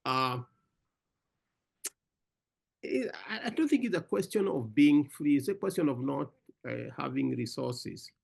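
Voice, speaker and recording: A man with a somewhat high, gravelly voice answers questions at an online conference. His pitch swings widely as he presses his points, and he often repeats words before landing them. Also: lively intonation, unhurried, pauses often. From Africa. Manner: emphatic, repeats words, addresses listener as brother. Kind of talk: animated panel talk.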